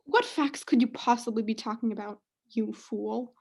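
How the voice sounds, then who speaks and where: Young woman as a high-voiced, doting, haughty mother. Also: normal speed, darkish tone, breathy edge. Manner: gushing, melodramatic. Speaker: student actor in radio play. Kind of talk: radio play acting.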